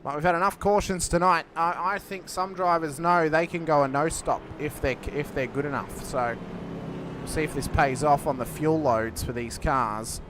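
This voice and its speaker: Guy calling a sim race, kinda high voice, loud engine noise under it. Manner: cheeky, joking, quick to laugh. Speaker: race commentator. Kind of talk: live sim racing commentary.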